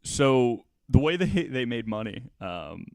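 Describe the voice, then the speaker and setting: A man hosting a podcast, telling a story casually and haltingly, with conversational asides. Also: medium pitch, animated, vocal fry, clear, resonant tone, dry recording.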